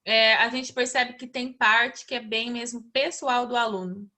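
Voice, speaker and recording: Bright high-voiced Brazilian woman host. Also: steady tone, unhurried. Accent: Brazilian Portuguese. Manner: agreeable, many filler tags and restarts. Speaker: English teacher hosting a mentoring talk. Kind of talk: casual conversation.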